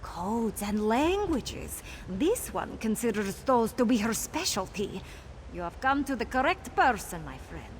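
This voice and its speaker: A sly female cat creature from a fantasy game. Her voice is fairly high and very animated, with a wheedling, self-assured manner. There is faint noise behind her. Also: measured pace. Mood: smug, teaching. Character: sly, boastful female cat-folk rogue. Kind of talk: video game character dialogue.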